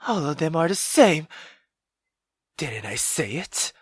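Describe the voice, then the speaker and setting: A woman's voice: low-pitched, sing-song, slow, background noise, exaggerated voice. A woman voicing a furious, cruel, bitter mother in a visual novel, scolding and menacing as she rants. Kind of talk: dramatic visual novel reading.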